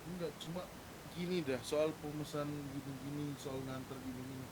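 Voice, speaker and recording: A man's voice: little pitch movement, moderate pace. A man speaking casually and frankly in a roleplay gaming chat, reasoning out his opinion step by step. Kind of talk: casual in-game roleplay group discussion. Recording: clearly noisy game voice chat, low rumble.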